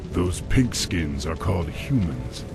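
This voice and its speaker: An actor playing a young orc war chief in a game cinematic, a wary, commanding leader with a gruff voice. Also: male voice, very animated, slow, music in the background, heavily acted. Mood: wary, stern. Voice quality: deep, gravelly. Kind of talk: video game cinematic acting.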